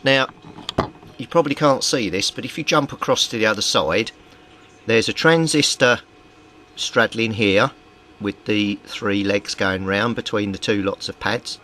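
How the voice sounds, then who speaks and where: A man's voice: on the low side, unhurried, bright, forceful tone. A man giving an informal, methodical workbench explanation as a hobbyist repairer. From Britain. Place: small indoor home workbench. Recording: clearly noisy home recording.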